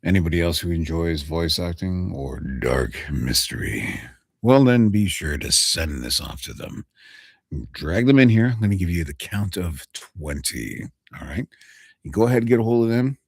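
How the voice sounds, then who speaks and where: A man's voice: low voice, big pitch swings, moderate pace, gravelly, breathy edge, no echo, clean studio sound. A man, a voice actor, chatting casually on a livestream and talking straight to a viewer. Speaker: voice actor streamer.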